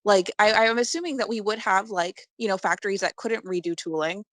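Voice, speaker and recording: A woman's voice: medium pitch, fairly quick, a bit bright, dry recording, studio quality. A woman chatting casually on a movie podcast, speaking informally and peppering her sentences with "like". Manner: informal, heavy use of "like". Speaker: podcast guest.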